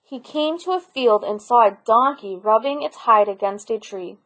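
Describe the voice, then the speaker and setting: A woman narrating a children's picture book aloud in an expressive storyteller style. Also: a little high, normal speed.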